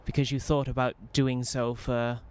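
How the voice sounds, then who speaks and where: A young man from Wales with a softened Welsh accent, streaming a role-playing game in rambling, self-mocking chat full of restarts. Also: medium pitch, level tone, normal speed, a little hiss or hum. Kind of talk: casual in-character role-play commentary.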